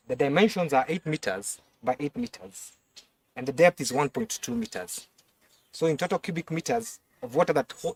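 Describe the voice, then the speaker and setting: A young man with a Kenyan accent explains things on camera in a high-pitched voice. He speaks haltingly, repeating words as he demonstrates. The sound is picked up from a distance outdoors, with some background noise. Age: young adult. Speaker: fish pond and crop farmer. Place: outdoors on a farm beside ponds.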